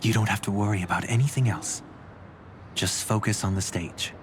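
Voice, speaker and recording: An actor playing a composed, protective older brother in a game cutscene, speaking in a measured, calm and firm way. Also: male voice, fairly low pitch, slight background noise, close to an everyday voice. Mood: calm resolve. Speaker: game character. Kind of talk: voiced game cutscene dialogue. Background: game music.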